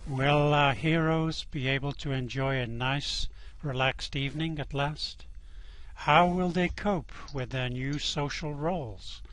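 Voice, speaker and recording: A man's voice: a little high, unhurried, fairly bright tone, rough recording. A man narrating a radio play from a script.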